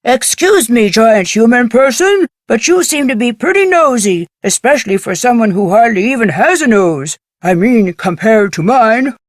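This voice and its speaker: A woman's voice: a little high, big pitch swings, moderate pace, hardly any pauses, dry recording, clean studio sound. A woman voicing a huffy, cheeky talking creature in a cartoonish game style. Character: nosy-accusing big-nosed talking creature, huffy and cheeky. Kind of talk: cartoonish game character acting.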